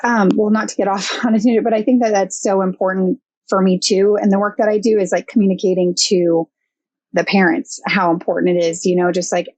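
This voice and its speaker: A woman's voice: medium pitch, level tone, a little fast, darkish tone, dry recording. Hesitant woman counselor, dry sound. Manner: thoughtful, hesitant with many fillers. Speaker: guest, counseling intern. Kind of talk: casual podcast interview.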